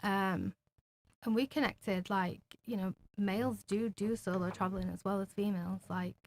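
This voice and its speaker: A woman's voice: mid-range voice, fairly even intonation, normal speed, a little hiss or hum. A woman with an English accent, a podcast guest giving blunt, short answers. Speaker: podcast guest, business owner. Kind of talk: casual chat.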